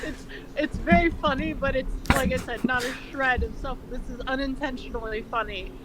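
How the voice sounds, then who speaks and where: High-voiced woman, sweary mock-dramatic reader. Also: fairly even intonation, moderate pace. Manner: sweary, ad-libbing, mocking. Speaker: co-reader of a comedic dramatic fanfiction reading. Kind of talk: mock-dramatic reading with joking asides. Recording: unevenly balanced audio, crowd-like noise behind.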